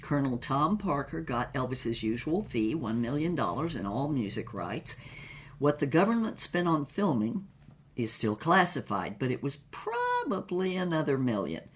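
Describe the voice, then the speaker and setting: A woman playing a worldly, brassy older former starlet who tells racy memoirs in a husky voice, wryly and unhurriedly, with dramatic pauses. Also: a bass voice, huge pitch swings, a little hiss or hum, exaggerated voice. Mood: wry, breezy. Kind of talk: comic scripted memoir narration.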